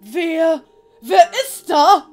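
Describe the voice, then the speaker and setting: Woman as alarmed captive doctor, extremely high voice, indignant, exclamatory, audio drama, music behind. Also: drawn-out words, darkish tone, heavily acted. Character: alarmed, indignant doctor held prisoner, protective of friend. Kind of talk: amateur audio drama acting.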